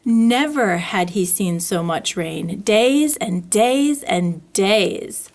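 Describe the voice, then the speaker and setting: A woman reading a children's storybook aloud as a narrator, with expressive, deliberate phrasing. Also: mid-range voice. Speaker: library story reader.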